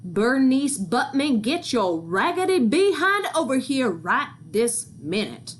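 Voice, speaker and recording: A woman's voice: high-pitched, very animated, slow pace, a little hiss or hum, voice pushed up, exaggerated voice. A woman voicing a brash, shameless, demanding mother who scolds impatiently in a folksy way, in a read-aloud storybook. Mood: bossy.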